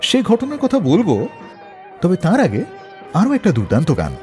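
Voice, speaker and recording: A man with a slightly high, husky voice presents a radio music show as a storyteller. His pitch swoops widely and dramatically through the scripted narration. A long reverb surrounds him, with music behind. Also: wildly swooping pitch, moderate pace, a little mellow. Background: background music.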